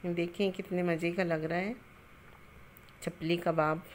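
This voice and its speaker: A middle-aged woman hosting a cooking channel, calmly explaining a recipe step by step in a home kitchen, with homely greetings and blessings. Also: fairly low pitch, measured pace, a little hiss or hum.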